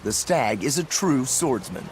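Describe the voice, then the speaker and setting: A laid-back cheerful swordsman, blunt playful talk, lively swings in pitch. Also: unhurried, slight background noise, poor audio. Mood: casual. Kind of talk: game dub acting.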